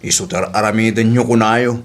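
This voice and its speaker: A man's voice: lowish voice, fairly even intonation, a little fast, lightly acted. A man playing a landlady's cheeky grown son in a radio comedy drama, complaining and arguing back.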